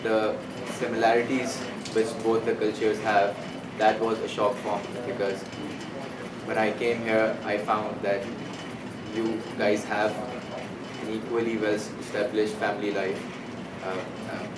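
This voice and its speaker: A man with a Pakistani accent giving interview answers, halting and repeating words as he searches for phrasing. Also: lowish voice, little pitch movement. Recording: echoey, distant mic, loud background noise.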